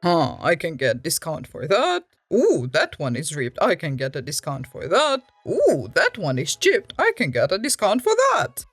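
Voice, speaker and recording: Woman acting a gleefully greedy bargain-hunting customer, lively pitch. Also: a little slow, few pauses, breathy edge, no room sound, faint music behind, full character voice. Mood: gleeful scheming.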